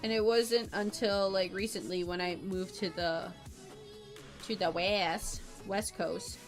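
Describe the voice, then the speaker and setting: A woman's voice: medium pitch, fairly even intonation, slow, faint music behind. A woman livestreaming while she draws, chatting casually with viewers in a rambling, bubbly way, often repeating herself and giggling. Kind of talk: casual chat with stream viewers while drawing.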